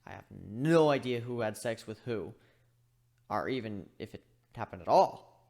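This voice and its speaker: A man giving an expressive amateur dramatic reading of a story. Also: fairly low pitch, moderate pace. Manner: animated, expressive delivery. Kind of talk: dramatic reading of fan fiction.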